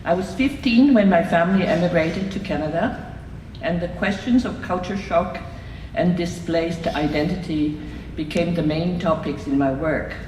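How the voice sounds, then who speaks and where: An older woman from Hong Kong reading a prepared award acceptance speech in a measured, reflective way, recorded from afar in a reception hall. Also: lowish voice, darkish tone. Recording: echoey hall from far off, noisy room.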